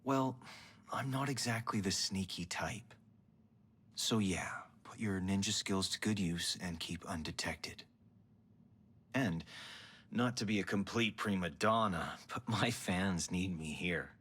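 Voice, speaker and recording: A man playing a vain, theatrical bar musician in a game cutscene, pompous and languid with a breathy voice. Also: low-pitched, unhurried, a bit bright, heavily acted. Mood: coaxing, smug. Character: vain, theatrical male bar musician, self-pitying and wry. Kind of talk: video game cutscene acting.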